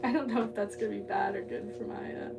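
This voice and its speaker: A woman's voice: medium pitch, steady tone, quick pace, vocal fry, very noisy. A young woman from Southern California chatting casually and giggling as she reads viewer comments while she works clay. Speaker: livestreamer. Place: home pottery studio. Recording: distant mic with music playing behind.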